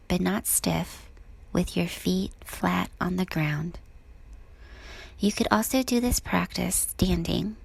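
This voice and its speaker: Slow, husky woman guiding meditation. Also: fairly low pitch, dry recording, poor audio. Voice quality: soft, breathy, husky. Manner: calm, gentle, unhurried with long pauses. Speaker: meditation guide. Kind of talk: guided body scan meditation.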